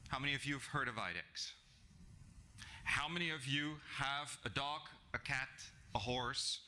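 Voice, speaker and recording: A man's voice: medium pitch, measured pace, sharp tone. A middle-aged man from South Africa, English not his first language, giving a conversational talk at a business breakfast with frequent ums and restarts. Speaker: guest speaker. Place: function room with an audience. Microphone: podium microphone.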